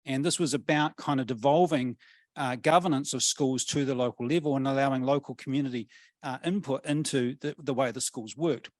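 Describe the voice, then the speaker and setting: A middle-aged man with a New Zealand accent speaks on a panel and works through his points with "uh"s and restarts. His voice sits in an ordinary middle pitch. The recording is dry and close, with no room sound. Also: fairly quick, dry recording. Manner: thoughtful, many fillers and restarts. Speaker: panel speaker, policy academic. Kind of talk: panel discussion talk.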